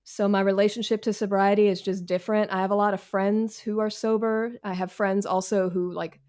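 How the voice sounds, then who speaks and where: A woman's voice: medium pitch, little pitch movement, fairly quick, a little mellow. American woman, mid-range voice, podcast guest. Candid, wry, self-deprecating, casual and slangy. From Washington, D.C., United States. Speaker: author, interview guest. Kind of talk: casual conversational interview.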